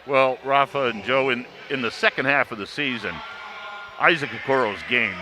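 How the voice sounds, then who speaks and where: A man's voice: mid-range voice, normal speed. A man giving sports analysis on a live broadcast in fluent, upbeat announcer phrasing. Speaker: play-by-play announcer and sports panelist. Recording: clear background noise.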